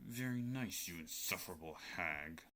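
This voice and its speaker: A man's voice: deep voice, lively intonation, normal speed, bright, forceful tone. A young man acting a petulant, self-pitying king in a radio play, exasperated and theatrical. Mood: petty jealousy. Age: teenager.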